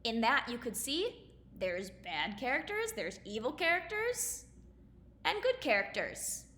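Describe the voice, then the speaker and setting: A woman gives a lesson and read-aloud in a bright, slightly high voice. Her phrasing is simple and instructive, as for young pupils. The room is echoey with faint noise. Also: big pitch swings, a little slow. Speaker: elementary school teacher. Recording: roomy echo, faint background noise.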